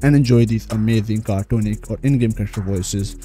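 A man's voice: lowish voice, a little fast, dark tone, soft background music. A man narrating a screen tutorial as host, repeatedly addressing viewers directly. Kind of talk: screen-recorded tutorial voice-over.

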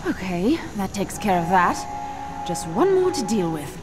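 A woman's voice: medium pitch, sing-song, a little slow, rough recording. A woman voicing an impatient, suspicious cat-woman villain in a video game, irritable and demanding, over music. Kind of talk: video game cutscene acting. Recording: loud game music behind.

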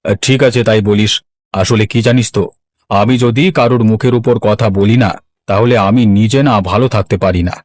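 A man's voice: on the low side, fairly even intonation, moderate pace, darkish tone, dry recording, clean studio sound, natural voice. A man voicing a kindly, weary old village landlord in a cartoon. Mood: trusting, resigned. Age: older. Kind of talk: Bangla cartoon voice acting.

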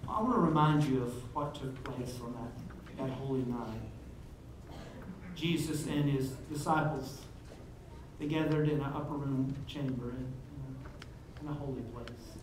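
A man in his forties, a pastor, leads communion with earnest, measured prayer, and his phrases fade off gently at the ends. He is picked up at a distance in a church sanctuary with a lot of echo. A steady hiss sits under him. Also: mid-range voice. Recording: echoey sanctuary heard from far off.

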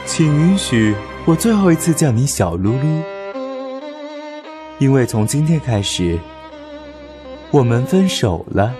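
A man's voice: medium pitch, big pitch swings. Man acting melodramatic young boyfriend, mock-solemn, clingy, cheeky, swooping intonation, comic audio drama, music underneath. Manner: mock-solemn, theatrical, drawn-out delivery.

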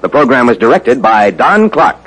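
A man with an American accent, a polished, formal 1950s radio announcer delivering sponsor pitches. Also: sing-song, moderate pace, a bit bright, husky, rough recording. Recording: vintage 1950s radio broadcast.

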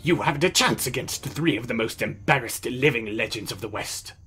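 Woman putting on a husky, pompous lawman. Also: a bass voice, expressive, fairly quick, a bit bright, soft background music, full character voice. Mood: haughty. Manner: pompous, theatrical. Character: pompous, dandyish male Western lawman. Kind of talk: cartoon comic dub acting.

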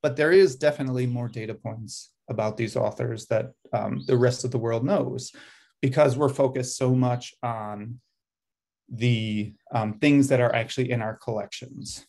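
A middle-aged man presents over a video call, his voice sitting at an ordinary middle pitch. He talks in loose, thinking-aloud sentences, often correcting himself and filling gaps with um. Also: steady tone, normal speed, vocal fry, a little mellow. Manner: thinking aloud, frequent ums and self-corrections. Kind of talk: presentation over shared slides. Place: indoor room at a desk.

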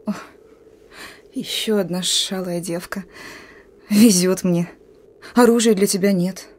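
An actress playing a young woman partisan with a gruff commander's front and a wistful heart, in a radio play. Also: mid-range voice, steady tone, slow pace, a little mellow.

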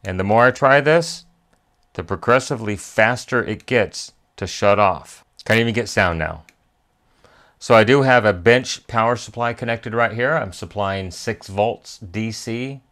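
Male repair-tutorial host, lowish pitch, methodical step-by-step delivery. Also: a little slow, fairly bright tone. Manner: methodical, step-by-step, thinking aloud. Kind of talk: hands-on repair tutorial narration. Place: indoor electronics workbench.

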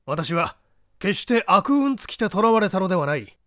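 A man voicing a boastful, nonchalant, smooth-talking gentleman thief in an audiobook. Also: sits high, very animated, moderate pace, no room sound, studio quality.

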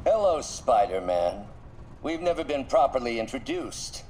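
An actor playing a composed, calculating mastermind villain in a video game, formal and superior. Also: male voice, mid-range voice, sing-song, unhurried, a little mellow, a little hiss or hum. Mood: cold, composed.

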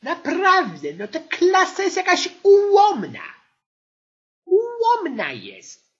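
A woman's voice: extremely high pitch, huge pitch swings, slow pace, lo-fi sound, heavily acted. A woman playing an exasperated female English teacher in an amateur comedy audio sketch, whining and grumbling as she scolds her class. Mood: flustered, exasperated.

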